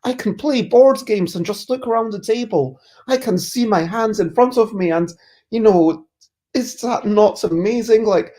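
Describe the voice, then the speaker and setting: Congested host with a mellow voice. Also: mid-range voice. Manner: musing, easygoing, self-interrupting. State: congested with a cold. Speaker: streamer hosting a chat show. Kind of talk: casual rambling chat, reading viewer comments.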